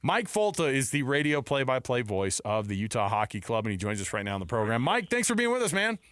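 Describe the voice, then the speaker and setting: A man's voice: medium pitch, big pitch swings, fast talker, a bit bright, no echo. An American man hosting sports radio, talking casually in a bantering, effusive way on a close studio mic. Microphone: close studio microphone.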